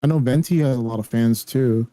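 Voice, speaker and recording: A man's voice: mid-range voice, a little fast, dark tone. Blunt, slangy young man, a native Spanish speaker. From raised by a Spanish-speaking family, living in the US. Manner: blunt, slangy, swears freely. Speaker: gaming streamer. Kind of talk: casual streaming chat.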